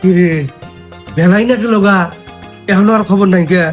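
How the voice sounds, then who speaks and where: A man playing a gullible, fretful rich village gentleman in a comic skit, with a rural Bangladeshi accent and a questioning, exclamatory way of talking. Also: high voice, fairly even intonation, normal speed, music in the background, natural voice. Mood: worried.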